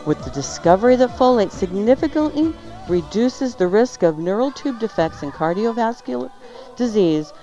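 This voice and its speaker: A woman's voice: medium pitch, animated, moderate pace, mellow. A woman hosting a talk show, reading health news and sponsor spots in a playful manner. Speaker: show host. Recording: dull lo-fi audio with music behind.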